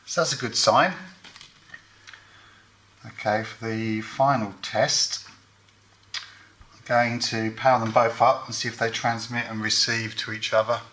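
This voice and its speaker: A man's voice: mid-range voice, fairly even intonation, measured pace, long pauses, bright, forceful tone. A man giving hands-on repair commentary as host, thinking aloud in short, matter-of-fact phrases.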